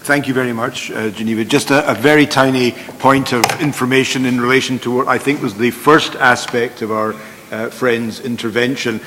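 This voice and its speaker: A man's voice: mid-range voice, steady tone, normal speed, pushed and bright, a little hiss or hum. A man, a law professor, making a precise point with dry self-deprecating humor on an expert panel. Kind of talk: conference panel remarks. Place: large conference hall. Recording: long-reverb conference hall.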